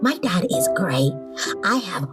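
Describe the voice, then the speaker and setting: Woman voicing an eager, animated little boy. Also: on the low side, slow pace, music in the background. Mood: eager inviting. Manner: eager, babbling. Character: eager little boy of six, boastful and friendly. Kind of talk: acted dialogue in horror story.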